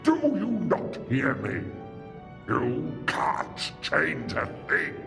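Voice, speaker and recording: An actor playing a grandiose, vengeful alien warlord in an audio drama, declaiming with theatrical menace. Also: male voice, on the high side, huge pitch swings, drawn-out words, gravelly, fairly bright tone, exaggerated voice. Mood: defiant. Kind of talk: audio drama villain acting. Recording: long reverb with music underneath.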